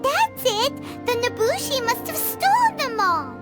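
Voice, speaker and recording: Super high squeaky little fairy girl, excitable, swoops all over, game sidekick with music behind. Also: unhurried, exaggerated voice. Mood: excited, puzzled. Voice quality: squeaky, childlike. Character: tiny childlike female fairy companion, excitable and proud. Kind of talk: cartoonish video game voice acting.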